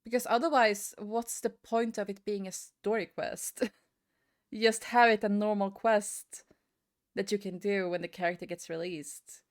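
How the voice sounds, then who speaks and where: A woman giving a casual, rambling opinion rant as a gaming video creator, often hesitating and repeating herself. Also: mid-range voice.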